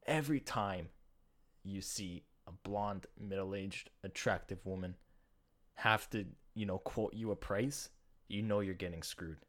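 Young man, slight lisp, low voice, rambling casual podcast talk, jokey and self-deprecating. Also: measured pace, fairly bright tone. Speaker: podcast host. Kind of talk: casual solo podcast monologue.

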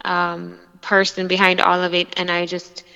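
A woman's voice: fairly low pitch, monotone, moderate pace, gravelly, pushed and bright, clean tone, long reverb tail, lo-fi sound. A young Jamaican woman with a slight American influence, a singer talking casually and animatedly in an interview with many fillers and restarts. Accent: Jamaican, with some American influence. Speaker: dancehall singer, guest.

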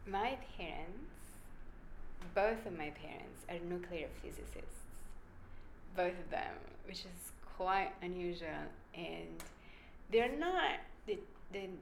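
A woman's voice: lowish voice, expressive, takes it slowly, gravelly, echoey room, a little hiss or hum, low-quality recording. A woman with an Italian accent, a researcher explaining her work in an emphatic, conversational interview. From Italy. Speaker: brain researcher guest.

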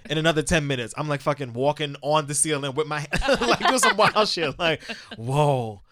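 A man in loose banter with friends, his pitch bouncing all over as he tells a joking story. He sits a little high for a man and talks with lots of energy. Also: expressive, moderate pace, a bit bright. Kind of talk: casual group chat.

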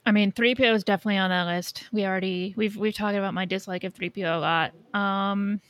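A woman's voice: medium pitch, steady tone, fast, dry recording. A woman in casual podcast conversation, informally thinking aloud as a co-host. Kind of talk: casual fan podcast chat.

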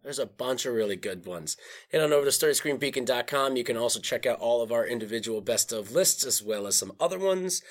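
A man's voice: medium pitch, expressive, fast talker. A man hosting a podcast, chatting casually and plugging the show, loose and swearing casually. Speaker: podcast host. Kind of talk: casual chat with show plugs.

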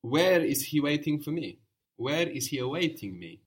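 A man's voice: on the high side, very animated, moderate pace, husky. A man teaching a language lesson, patiently prompting his student with questions and brief praise.

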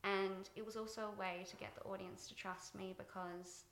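A soft-spoken woman being interviewed about her directing work, thoughtful and pausing with fillers. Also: medium pitch, level tone, roomy sound. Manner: thoughtful, many fillers and restarts. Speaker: guest, TV director. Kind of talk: reflective interview talk.

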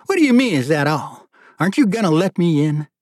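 Pitched high and gruff, this is a man performing a frail old brother from an audiobook. He has a thick Manhattan accent and a sarcastic, condescending manner. He is pushy and dramatic, every bit the overbearing elder. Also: very animated, fairly quick, a little mellow, no room sound, studio quality. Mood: indignant, hollering.

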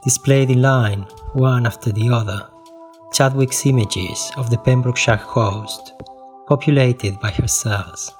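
A man with a mid-pitched voice gives a scripted, scene-setting introduction. He speaks very slowly and deliberately, with faint music underneath. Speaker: podcast introducer.

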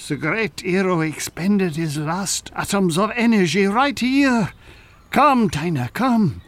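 Mad French scientist, high-pitched, theatrical, pompous, French accent, peppered with French phrases, radio comedy. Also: male voice, lively intonation, slow, a bit bright, dry recording, full character voice. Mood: grand, excited. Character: pompous, scheming French professor and time-travelling mad scientist. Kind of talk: comedy audio drama acting.

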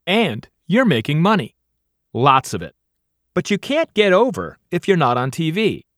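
Middle-aged man, audiobook memoir narration, wry comic timing, very expressive intonation, dry studio-like sound. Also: fairly high pitch, normal speed, no room sound. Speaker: author reading own audiobook. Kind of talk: scripted audiobook narration, first-person memoir.

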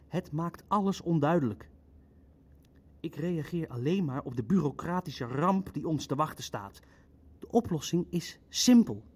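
An actor in an audio drama playing an indignant Nazi jurist who argues formally and insistently for rigid legal order. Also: male voice, on the high side, darkish tone, breathy edge.

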